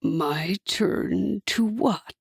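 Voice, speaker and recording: A woman voicing a menacing male devil who whispers slowly and sinisterly to his daughter. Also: mid-range voice, creaky, breathy edge, no room sound, clean studio sound, heavily acted. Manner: soft sinister whisper, slow and drawn out.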